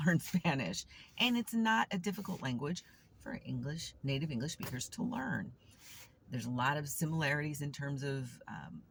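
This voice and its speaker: A woman's voice: extremely low pitch, vocal fry, fairly bright tone, a little hiss or hum. A woman vlogger and substitute teacher talking through a casual, reflective morning monologue, candid and thinking aloud with frequent restarts. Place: seated, waiting outside a store.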